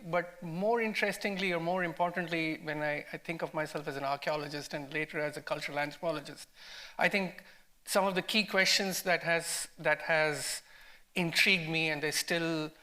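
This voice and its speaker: A man's voice: on the high side, pushed and bright. A man with an Indian accent speaking as a film director in an academic discussion, emphatic and repeating words as he works out his ideas. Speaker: film director, panel guest. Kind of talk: academic post-screening discussion.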